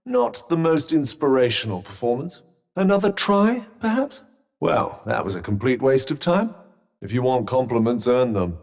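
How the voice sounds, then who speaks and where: A man's voice: medium pitch, sing-song, normal speed, long reverb tail. A man with a British accent voicing a haughty, sardonic magical cuff companion in a video game, with pompous, droll, formal delivery. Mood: withering, critical.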